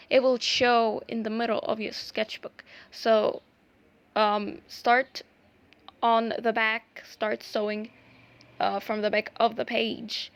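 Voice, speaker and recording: A young woman whose first language is Arabic, talking through a craft tutorial in a hesitant, casual way with many pauses and restarts. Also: fairly high pitch, gravelly. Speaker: art vlogger.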